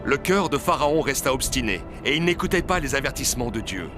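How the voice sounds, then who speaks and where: A man's voice: medium pitch, sing-song, fairly quick. A man reading scripted narration of a biblical story as narrator. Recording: music under the voice.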